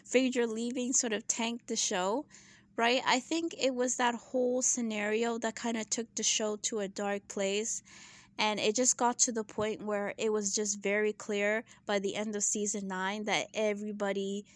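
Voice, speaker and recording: A woman giving her casual opinions in a vlog, chatty and full of "right?" asides, clearing her throat and losing her voice. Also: mid-range voice, fairly even intonation, normal speed, no room sound. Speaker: YouTube commentator.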